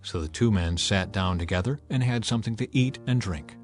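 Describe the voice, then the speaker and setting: A man narrating a dramatized scripture audiobook with measured, dramatic storytelling and emphatic swells. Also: lowish voice. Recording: music bed under the voice.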